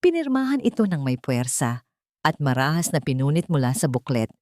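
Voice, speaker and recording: A woman narrating a scripted dramatic story in a measured, theatrical way. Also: sits low, big pitch swings, dark tone, dry recording, clean studio sound.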